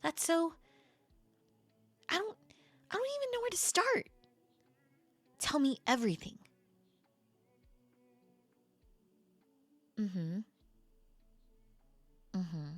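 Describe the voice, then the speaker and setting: Woman acting flirty, expressive best friend, close and dry. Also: high voice, slow, pauses often, dry recording, faint music behind, exaggerated voice. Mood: surprised, curious. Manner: casual, expressive, hesitant trailing-off phrases. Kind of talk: intimate audio roleplay acting, conversational.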